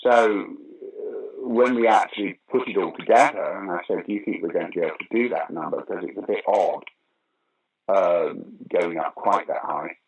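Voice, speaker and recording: A man's voice: low voice, moderate pace, gravelly, rough recording. An older man telling theatre anecdotes in an interview, with a theatrical, emphatic manner. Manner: theatrical, emphatic storyteller who acts out remarks. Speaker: interview guest, pantomime actor and former opera singer. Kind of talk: animated anecdotal interview talk.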